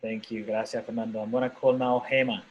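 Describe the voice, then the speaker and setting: A man's voice: mid-range voice, level tone, fast, highs cut off, slight background noise. A man moderating an online talk, thanking each speaker and calling on the next one. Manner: brief and upbeat, calls on participants one by one. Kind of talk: webinar moderating. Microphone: video call.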